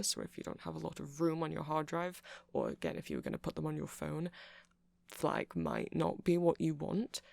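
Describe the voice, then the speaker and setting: A man playing a nerdy male vampire in an ASMR roleplay, rambling haltingly and passionately to a listener as he explains things. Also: on the high side, vocal fry, a little mellow, no room sound.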